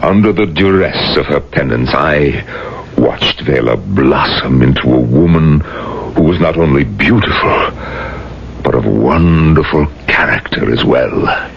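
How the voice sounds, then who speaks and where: Stern elder rabbi, extremely low and deliberate, admonishing, on hissy vintage radio drama. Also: male voice, creaky, exaggerated voice. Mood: solemn. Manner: grave, admonishing, deliberate oratory. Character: stern, solemn older male rabbi. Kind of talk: 1970s radio drama acting. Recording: muffled, hissy old radio recording.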